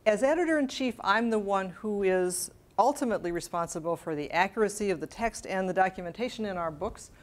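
A woman's voice: medium pitch, lively intonation, fairly quick, few pauses. An American woman in her sixties, a scholar delivering a prepared lecture. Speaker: scholarly editor giving a lecture. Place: library event room, at a lectern. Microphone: lapel microphone.

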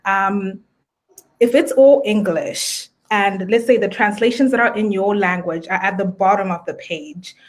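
A woman's voice: medium pitch, measured pace. A woman from Zimbabwe with a Zimbabwean accent, speaking as a guest in a casual video-call interview, thoughtful with fillers and restarts. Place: indoor room at home.

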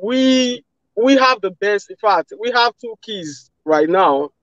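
A Nigerian man in his thirties talking casually as a guest on a live video call, relaxed, with many "you know" fillers. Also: high voice, slow, no room sound, highs cut off. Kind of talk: casual testimonial. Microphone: phone video call.

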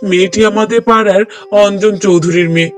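A man's voice: sits high, fairly even intonation, fast, soft background music, lightly acted. A man playing an earnest, respectful young bachelor son in a cartoon drama, speaking politely. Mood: earnest, hopeful.

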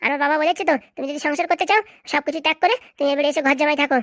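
A woman's voice: high-pitched, fairly even intonation, racing, fairly bright tone, no echo. A woman playing an aggrieved, stubborn young wife, argumentative and emphatic, in a comic cartoon. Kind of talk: comic cartoon drama dialogue.

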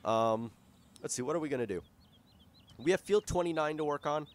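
A hoarse young man who is losing his voice, giving rambling, casual commentary over a farming game at his desk microphone, with lots of fillers and restarts. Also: a little high, expressive, a little fast. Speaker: gaming YouTuber. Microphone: desktop microphone.

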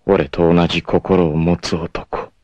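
An actor playing a proud, doomed martial-arts warrior in an anime, tender and self-sacrificing, with solemn, theatrical delivery. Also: male voice, low voice, little pitch movement, slow pace, darkish tone, no echo, highs cut off. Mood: solemn, resolute. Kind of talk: dramatic anime acting, monologue and narration.

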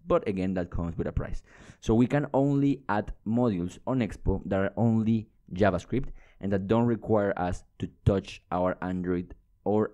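A young man with a low, mellow voice teaches coding in a screencast. He explains things informally, like an instructor talking a student through steps at the computer. The tone is soft-edged and dark, recorded close to the mic. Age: young adult. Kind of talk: casual screencast tutorial. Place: indoors at a computer.

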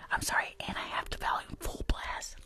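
A woman in her twenties doing hushed ASMR roleplay and livestream chat, murmuring repetitive little phrases close to the microphone. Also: fairly quick. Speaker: ASMR creator and livestream host. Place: small indoor room at home. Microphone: close desktop microphone, earphones on.

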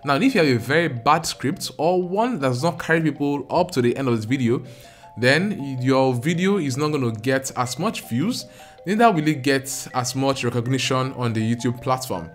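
A man's voice: medium pitch, normal speed, soft background music. A young man with a Nigerian accent, explaining step by step in an earnest, emphatic vlog to camera. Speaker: YouTube creator. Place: small home studio room. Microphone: large studio mic close in front.

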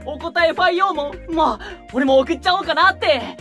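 A bubbly young male idol gushes in a very high, wildly swinging voice. He sounds playful and dramatic, caught close on a binaural mic in an anime audio drama, with music faint underneath. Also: animated, fairly quick, pushed and bright, exaggerated voice. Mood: gushing. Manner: gushing, playful, dramatic exclamations.

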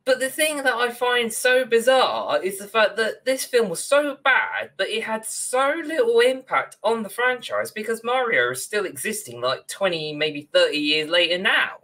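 A man's voice: sits high, lively intonation, moderate pace, hardly any pauses, bright, forceful tone. A young man co-hosting a casual, opinionated discussion of films and games from a room on a video call. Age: young adult. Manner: opinionated, ranting. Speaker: podcast co-host. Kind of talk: casual film and game discussion.

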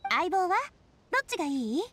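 High, lively, spirited young woman. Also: brisk, full character voice. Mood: excited, teasing. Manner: expressive, breezy, encouraging. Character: spirited, confident, sociable young woman adventurer. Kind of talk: anime video game acting. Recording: music under the voice.

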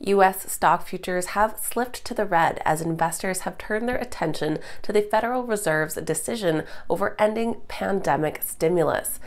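A woman in her thirties, slightly stuffed up from a cold, reading a brisk, upbeat scripted morning market update as host in a studio. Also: medium pitch. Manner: brisk, upbeat presenter delivery. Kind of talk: scripted market news read. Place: studio, green screen backdrop.

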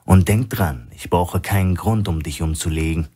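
A man's voice: deep voice, moderate pace, dark tone, husky. A man voicing a menacing male bank robber boss who gives curt, threatening orders in a thriller audiobook.

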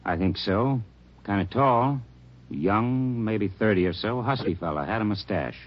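An actor with an American accent playing a plain-talking, defensive hotel manager in an old radio drama. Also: male voice, fairly low pitch, animated, unhurried. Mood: defensive, helpful. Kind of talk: 1950s radio drama acting. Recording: dull old radio recording.

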